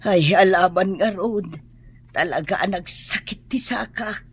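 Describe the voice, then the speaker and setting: A woman's voice: medium pitch, a little slow, a little hiss or hum, close to an everyday voice. A woman playing an aching, complaining mother with a Filipino Ilocano accent in a comic radio drama, plaintive and given to exclaiming. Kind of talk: radio drama comedy acting.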